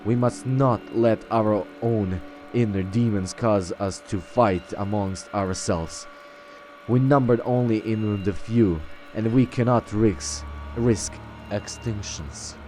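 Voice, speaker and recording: A man's voice: fairly low pitch, slow pace, a little mellow, a little hiss or hum. A man reading game text aloud and role-playing, often stumbling over his words. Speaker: let's-play roleplay host.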